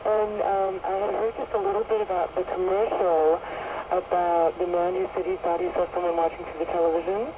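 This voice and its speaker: High-voiced male caller on a radio show, chatty and eager, heard through shortwave static. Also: steady tone, a little fast, few pauses, highs cut off. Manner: eager, chatty, explains at length. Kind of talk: call-in radio chat.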